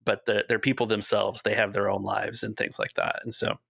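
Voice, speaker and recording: Gravelly gm guy rambling on a panel, low-ish voice, dry mic. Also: little pitch movement, fairly quick, fairly bright tone, dry recording. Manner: rambling, with many restarts. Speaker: podcast game master. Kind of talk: casual panel discussion.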